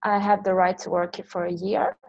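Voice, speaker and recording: A woman chats as an interview guest, her pitch in the middle range. She speaks English as an in loose, conversational phrases. The sound is dry, with no room around it. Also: little pitch movement, a little fast, darkish tone, dry recording, muffled recording. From Sweden. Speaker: interview guest, online language teacher and entrepreneur.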